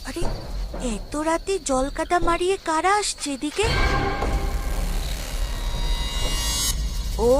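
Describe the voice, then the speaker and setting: A woman's voice: very high-pitched, very noisy. A woman voicing a brave, determined young village cowherd boy in a children's cartoon, with earnest, dramatic delivery. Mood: defiant. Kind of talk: Bengali children's cartoon dialogue. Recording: music behind the voice.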